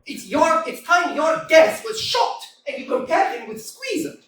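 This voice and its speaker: A woman's voice: very high voice, very animated, normal speed, crowd noise. A woman voicing a headstrong, argumentative young woman in a staged play reading, teasing and stubborn, heard from a distance in an echoey hall. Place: small theatre stage.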